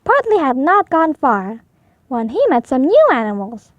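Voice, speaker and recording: A woman reads a picture book aloud for young children. Her voice is high and very animated, with expressive storytelling and a dry, close sound. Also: normal speed, dry recording, narrow, tinny audio.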